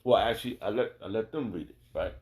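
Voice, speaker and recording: A man's voice: fairly low pitch, quick pace, a little mellow. A man with an American accent teaching scripture informally, in a colloquial, emphatic way, repeating phrases and checking in with rhetorical questions. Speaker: religious teacher.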